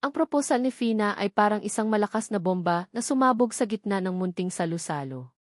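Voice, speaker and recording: A woman's voice: mid-range voice, expressive, brisk, dry recording. Filipino woman narrating a dramatic story, close and dry. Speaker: audiobook narrator.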